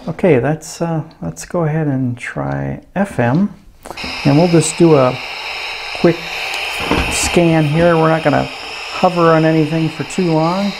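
A man's voice: on the high side, a little slow, vocal fry, background noise. A middle-aged man giving a casual, easygoing hands-on gadget review at a desk indoors.

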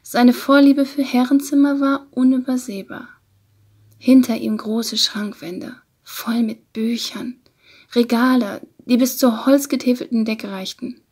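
A woman reading literary narration in a breathy voice that often slips toward a whisper. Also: fairly high pitch, fairly even intonation, a little slow, a little mellow. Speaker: audiobook narrator.

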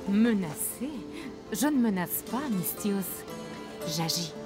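Une actrice de doublage jouant une jeune cheffe rebelle audacieuse et passionnée dans un jeu vidéo. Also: female voice, medium pitch, expressive, takes it slowly, music in the background. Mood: flirtatious. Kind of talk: dubbed video game acting.

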